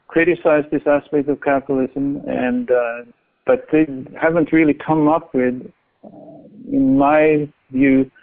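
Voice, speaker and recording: A man's voice: mid-range voice, gravelly. A man from Norway, an author being interviewed, speaking thoughtfully with many restarts and fillers. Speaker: author guest. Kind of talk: interview talk. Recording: tinny phone-line audio.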